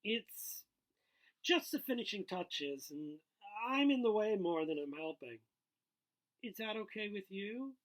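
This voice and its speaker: A man's voice: high voice, lively intonation, takes it slowly, fairly bright tone, pitched above the performer's own voice, full character voice, poor audio. A man voicing an earnest, indignant teenage schoolboy from a novel, speaking emphatically and accusingly. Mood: hurried, polite.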